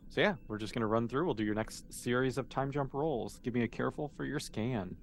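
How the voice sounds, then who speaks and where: A man leads a tabletop role-playing game in a casual, encouraging way. His voice sits at an ordinary middle pitch as he explains rules and scenes. A little noise sits behind him. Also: fairly quick. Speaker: game master.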